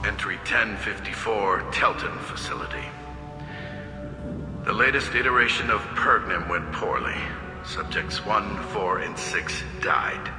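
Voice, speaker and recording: A man's voice: lowish voice, a little slow, natural voice. An actor playing a detached male scientist dictating experiment logs in a clinical manner. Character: cold male scientist recording research logs. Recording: reverberant log playback with music.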